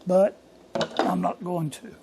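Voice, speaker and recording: An older man hosting a casual workshop vlog from his home machine shop, talking in a hoarse, rambling, folksy way. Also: high voice, little pitch movement, slow pace, background noise. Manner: rambling, folksy and self-deprecating. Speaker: workshop channel host.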